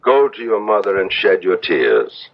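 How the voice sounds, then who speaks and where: A man acting in an old-time radio drama as a doting, excitable middle-aged father with a theatrical, exclamatory delivery. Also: sits low, a little slow. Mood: gentle, paternal. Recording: dull, very noisy radio drama recording.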